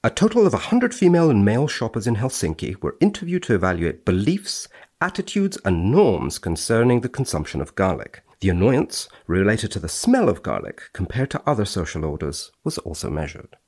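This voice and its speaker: A man's voice: fairly low pitch, big pitch swings, brisk, darkish tone, slightly rough. A man, a researcher appearing as a podcast guest, reading studies dramatically and chatting about them.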